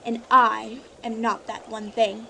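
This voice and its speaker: Lady playing sad resolute young woman, earnest, highish voice, radio drama, faint noise. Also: little pitch movement, slow pace, vocal fry, sharp tone, exaggerated voice, rough recording. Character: sorrowful, resolute young woman leaving her greedy fiance. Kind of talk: live-audience radio play acting.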